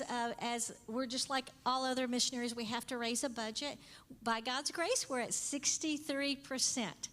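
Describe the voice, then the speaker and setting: A woman in her fifties giving an earnest missions presentation to a church. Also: sits high. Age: 50s. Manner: earnest, explanatory, steady. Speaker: missionary speaker. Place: church sanctuary. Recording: long church hall reverb.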